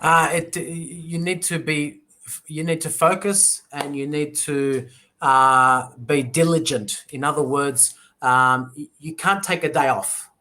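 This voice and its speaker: A man's voice: fairly high pitch, steady tone, slow, sharp tone. A man tutoring English online, explaining patiently with personal stories in a casual conversation lesson. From British. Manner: easygoing, explains with personal stories and acted quotes.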